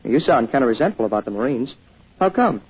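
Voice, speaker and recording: An actor playing an eager, earnest young American son in an old-time radio drama, boyish and a little bashful, with a stammering manner. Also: male voice, mid-range voice, big pitch swings, fast, breathy edge, heavily acted. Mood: eager, teasing. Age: young adult. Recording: dull, hissy old radio recording.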